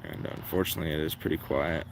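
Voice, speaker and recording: A man casually thinking out loud as he hosts a hobby livestream, in a low-key, rambling, unhurried way. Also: lively intonation, creaky, background noise. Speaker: livestream host, radio hobbyist. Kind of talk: casual livestream commentary, musing aloud.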